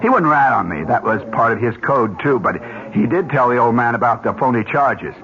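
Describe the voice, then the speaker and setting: A man's voice: medium pitch, expressive, a little fast, fairly bright tone. A man playing a cynical, scornful American corporate manager in a radio drama, talking in a slangy, sardonic way. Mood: incredulous, resentful. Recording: muffled old radio, faint noise.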